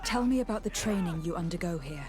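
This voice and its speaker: An actress playing a composed, diplomatic noblewoman investigator, speaking calmly and with measured words in video game dialogue. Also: lowish voice, lightly acted. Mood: earnest. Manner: calm, measured, probing.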